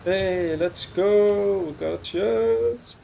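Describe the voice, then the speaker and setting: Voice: medium pitch, drawn-out words, gravelly, mellow. A gamer giving casual, informal gameplay commentary with exclamations and some swearing. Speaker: gaming streamer. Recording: noticeable background noise.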